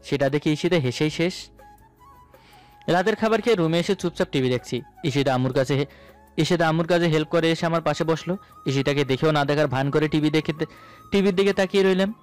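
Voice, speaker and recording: High-pitched male story narrator over music. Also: a little fast, smooth. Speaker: narrator of romantic audio stories. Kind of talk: first-person scripted story narration.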